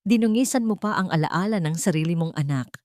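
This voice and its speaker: A woman's voice: lowish voice, lively intonation, brisk, darkish tone, dry recording, close to an everyday voice. A woman voicing a sanctimonious, enraged family matriarch in a story, scolding with cutting contempt. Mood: enraged, accusing.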